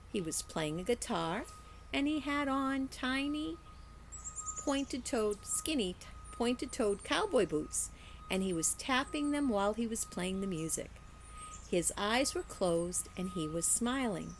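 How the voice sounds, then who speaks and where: A woman reading a children's story aloud like a teacher, in a deliberate, careful storytelling way. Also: on the high side, slight background noise. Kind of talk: expressive children's story narration.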